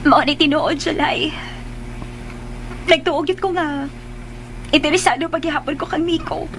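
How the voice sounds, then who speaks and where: Very high-voiced anxious sweetheart. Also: female voice, steady tone, normal speed, noisy background. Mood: earnest confessing. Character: anxious, pleading young sweetheart confessing to her love. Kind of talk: Filipino radio drama acting.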